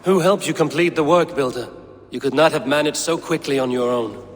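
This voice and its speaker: An actor playing a stern, impatient Norse god ruler in a video game, grave and measured with an air of authority. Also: male voice, fairly high pitch, slight background noise, natural voice. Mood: suspicious, dry. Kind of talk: video game cutscene acting. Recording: reverberant hall with music behind.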